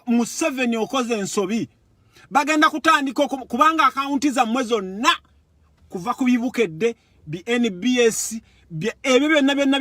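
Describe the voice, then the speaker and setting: A Ugandan man speaks with a strikingly high, forceful voice. He delivers an impassioned political monologue, repeating words for emphasis and moving between languages. The sound is dry and close. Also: no echo. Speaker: political commentator. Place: Europe.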